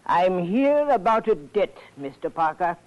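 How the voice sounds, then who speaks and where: A desperate, cornered man in a vintage radio thriller. His voice sits fairly high, and his speech is tense, polite and pointed. The recording is dull and old. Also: big pitch swings, measured pace. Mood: cold, polite. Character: desperate, cornered man turned reluctant avenging killer. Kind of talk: 1940s radio drama acting.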